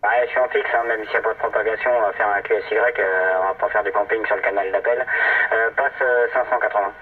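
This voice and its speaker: Low-voiced man over noisy, muffled CB radio. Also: steady tone, quick pace, recorded from a distance. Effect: radio transmission. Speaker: amateur CB radio operator at a fixed station. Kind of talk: casual radio exchange. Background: clear radio noise.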